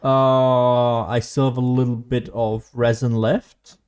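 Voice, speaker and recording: A man's voice: mid-range voice, level tone, slow, a little mellow, smooth. A man giving casual, rambling video game commentary, often losing his train of thought like a streamer talking as he plays.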